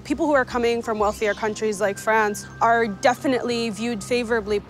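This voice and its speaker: Female interviewee, American, fairly high voice, opinion clips over clear music. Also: fairly even intonation, a little fast. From United States. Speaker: street interviewee. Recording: music behind the voice.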